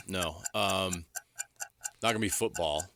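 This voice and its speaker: A man's voice: low-pitched, unhurried, gravelly, fairly bright tone. A man co-hosting a sports radio show, chatting and bantering casually with his partner. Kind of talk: casual banter, quiz guessing.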